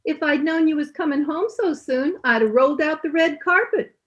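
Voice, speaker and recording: An actress playing a sassy, good-humored housekeeper with folksy wisecracks in an old-time radio comedy, slightly muffled. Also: female voice, sits high, moderate pace, natural voice. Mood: teasing. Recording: slightly muffled online-call sound.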